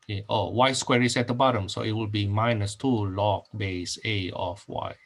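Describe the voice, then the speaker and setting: A man's voice: on the low side, steady tone, moderate pace, a bit bright. A middle-aged man from Indonesia teaching a math class over a screen recording, often repeating phrases and saying okay. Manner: repeats phrases, many fillers and okays. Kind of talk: recorded online math lecture.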